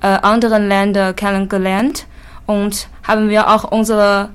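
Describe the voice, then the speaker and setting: A young woman from China with a Chinese accent, an exchange student answering interview questions in halting learner German. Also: medium pitch, level tone, clear, resonant tone. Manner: halting, many hesitation fillers.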